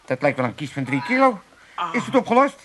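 A man's voice: fairly high pitch, big pitch swings, brisk, heavy background noise. A man playing a whining, bossy old father with an Amsterdam accent in a comic radio play, complaining theatrically. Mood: bossy, gleeful. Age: older. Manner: theatrical complaining, exclaiming, barking orders.

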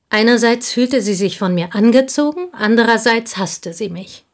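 An actress in a German radio play, playing an intense, embittered provincial Frenchwoman making a fervent, halting confession in a husky, breathy voice. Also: medium pitch. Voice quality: husky, breathy, dropping into whispers. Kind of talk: German radio play acting, dramatic monologue.

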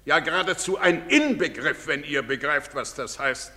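Pompous lecturing professor,, piercing bright tone. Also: male voice, a little high, normal speed. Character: boastful well-travelled professor, pompous. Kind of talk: radio play acting. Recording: long reverberant studio echo.

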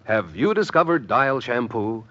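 A man's voice: medium pitch, sing-song, measured pace, pushed and bright. A man reading a scripted 1950s radio advertisement as the announcer. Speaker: commercial announcer. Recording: muffled vintage radio recording.

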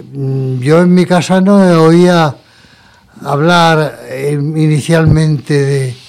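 Older man, 70s, from Murcia; interview guest, measured, broken phrasing. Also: mid-range voice, a little mellow. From Murcia, Spain. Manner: measured, pausing between short phrases. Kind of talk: conversational testimony.

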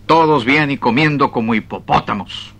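An actor playing an exasperated, plain-spoken small-town freight agent in a comic radio drama, halting and muttering as he dictates. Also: mid-range voice, gravelly, a bit bright, a little hiss or hum. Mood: muttering, unbothered. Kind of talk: 1970s-80s comic radio drama acting.

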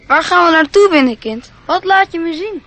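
An inquisitive small boy for a radio play. The voice is high and childlike, polite and a little hesitant, yet outspoken. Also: expressive, moderate pace, a bit bright. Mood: curious.

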